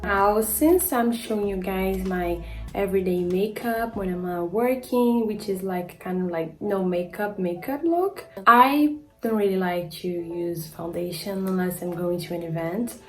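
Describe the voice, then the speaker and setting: A woman's voice: medium pitch, unhurried, darkish tone, faint music behind. A woman in her twenties with a Brazilian accent, a model casually talking through a skincare routine, with frequent "like" fillers and stumbles over product names. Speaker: beauty vlogger and model.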